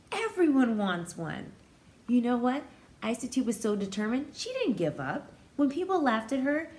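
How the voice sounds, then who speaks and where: A woman's voice: on the high side, a little mellow. A woman teacher doing a picture-book read-aloud, expressively explaining words and feelings in simple terms for young children. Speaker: pre-K teacher reading aloud.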